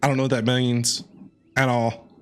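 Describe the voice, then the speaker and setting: A man's voice: mid-range voice, little pitch movement, moderate pace, clear, resonant tone, soft background music. A man giving casual, joking, exclamatory commentary as he streams a video game.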